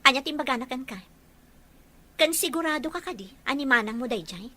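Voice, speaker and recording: A woman's voice: high voice, expressive, a little fast, bright, forceful tone, full character voice. A woman playing an earnest, caring young fiancée in an audio drama. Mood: hurt, insistent. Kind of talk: Ilocano audio drama acting.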